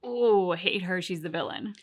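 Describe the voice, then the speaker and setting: A woman co-hosting a game reaction video, talking in an animated, rambling way with many restarts. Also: lowish voice, normal speed. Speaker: co-host of a game reaction channel. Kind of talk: casual reaction chat.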